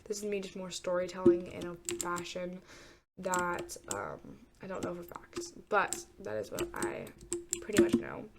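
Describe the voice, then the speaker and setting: A woman's voice: fairly low pitch, lively intonation, unhurried, very noisy. Congested young woman rambling, keyboard clatter. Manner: rambling, hesitant, many fillers and restarts. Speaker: gamer talking true crime. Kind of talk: casual unscripted storytelling while playing. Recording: loud keyboard typing behind.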